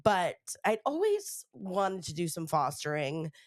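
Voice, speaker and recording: A woman with a head cold hosts a podcast in a slightly low, nasal, stuffed-up voice. She rambles casually, full of fillers and asides. The sound is dry and close to the mic. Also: huge pitch swings, measured pace, no echo. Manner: chatty, rambling, lots of fillers. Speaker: podcast host. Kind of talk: casual chat.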